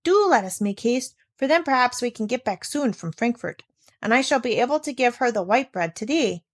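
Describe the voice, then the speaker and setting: Little storybook girl, played by a woman: innocent, headstrong, eager, lightly raised pitch. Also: lively intonation, normal speed. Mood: eager, earnest.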